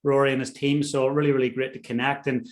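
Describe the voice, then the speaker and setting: A man's voice: medium pitch, level tone, quick pace. Enthusiastic male podcast host. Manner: enthusiastic, effusive praise. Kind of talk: interview host.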